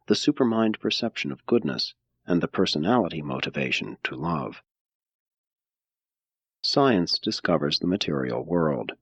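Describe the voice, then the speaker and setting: A man with a husky voice reads a scripted audiobook in a solemn, measured way. His intonation is very varied, moving widely within each phrase. The recording is very dry and a little dull. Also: sits low, no echo. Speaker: audiobook narrator. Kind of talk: scripted audiobook narration of religious philosophy.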